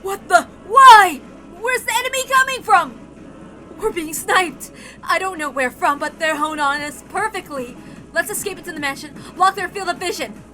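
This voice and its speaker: A woman's voice: very high voice, normal speed, pushed and bright, voice pushed up. A woman voicing an alarmed but tactical adult under attack in a visual novel, urgent and commanding.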